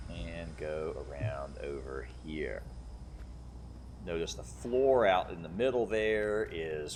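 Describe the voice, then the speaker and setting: A man's voice: low voice, slight background noise. A man giving casual video game commentary, thinking aloud and explaining as he plays. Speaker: gamer playing and commentating.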